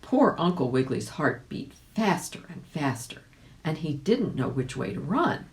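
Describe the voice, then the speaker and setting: A woman reading a children's story aloud in an expressive, animated way, addressing young listeners directly. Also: low voice, moderate pace. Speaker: volunteer audiobook reader.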